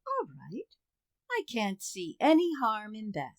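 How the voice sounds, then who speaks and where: A woman playing a firm, exasperated suburban wife in a radio sitcom, speaking in a measured, pointed, dryly sarcastic way. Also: a little high, huge pitch swings, no room sound, studio quality, heavily acted. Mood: feigned sweetness. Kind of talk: old-time radio sitcom acting.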